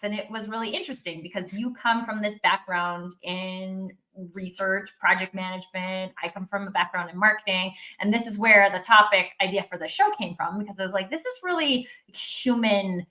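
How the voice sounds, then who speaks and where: A woman's voice: mid-range voice, sharp tone, rough recording. A woman hosting an interview show, enthusiastic and talking through her ideas at length. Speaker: podcast host. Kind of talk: interview conversation.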